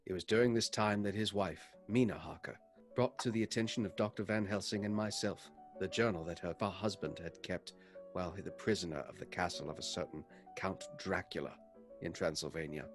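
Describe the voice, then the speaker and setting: A haunted, earnest doctor tells a gothic horror tale in a low voice. His radio drama narration is measured and dramatic, with music underneath. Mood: sober, formal. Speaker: actor narrating a radio play.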